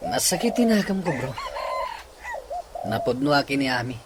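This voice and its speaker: A man's voice: mid-range voice, sing-song, normal speed, close to an everyday voice. Lovesick, plaintive young man acted by a man. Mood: hurt. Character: lovesick, heartbroken young man confiding in his friend. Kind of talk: radio drama acting. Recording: noisy, with ambient sound.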